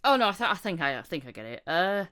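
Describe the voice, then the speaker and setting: Low-voiced woman hosting a casual podcast. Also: big pitch swings, quick pace, pushed and bright, clear, resonant tone. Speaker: podcast host, voice actor. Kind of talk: casual interview chat.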